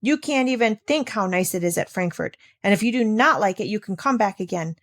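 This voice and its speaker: Storybook aunt, read by a woman: bossy, hurried, persuasive, impatient with children. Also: medium pitch, animated, dry recording, studio quality, close to an everyday voice. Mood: hurried, coaxing.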